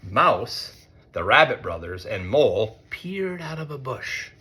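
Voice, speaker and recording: A man narrating a children's storybook interactively, expressive and playful, pausing to put questions to his listeners. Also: medium pitch. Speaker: read-aloud storyteller, teacher.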